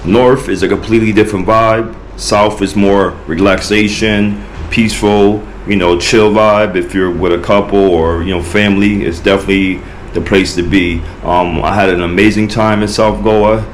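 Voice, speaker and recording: A man's voice: on the low side, steady tone, normal speed, a little hiss or hum, low-quality recording. An American man from New York, talking casually to camera as a travel vlogger on a beach, loose and chatty with lots of filler words. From United States, New York. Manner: loose and chatty, many "you know" and "like" fillers. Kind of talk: casual vlog chat to camera. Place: outdoors on a beach.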